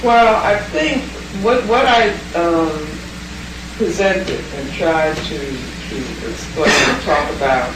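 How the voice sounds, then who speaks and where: A woman's voice: lowish voice, expressive, takes it slowly. A woman lecturer answering audience questions in a thoughtful, emphatic way and restarting phrases as she reframes them. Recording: very echoey hall, distant mic, noisy.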